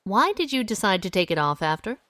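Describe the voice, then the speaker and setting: Confident, wry lady sleuth, very lively. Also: medium pitch, no echo, studio quality. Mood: brisk, probing. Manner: brisk, methodical, interrogating. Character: confident, wry amateur sleuth woman questioning suspects. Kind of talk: audio drama acting.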